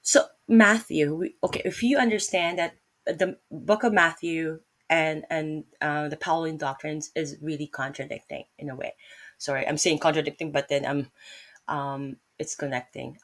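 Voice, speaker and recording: Filipino lady doing a bible chat on an old laptop, deep voice, wanders off and backtracks a lot. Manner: rambling, self-correcting, many fillers. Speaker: vlogger teaching Bible study. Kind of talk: casual Bible talk.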